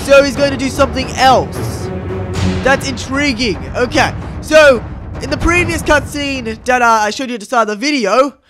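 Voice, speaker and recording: Young YouTuber: high-pitched, excitable casual gameplay commentator over loud music. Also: moderate pace. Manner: excitable, chatty. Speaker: gaming YouTuber. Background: game music.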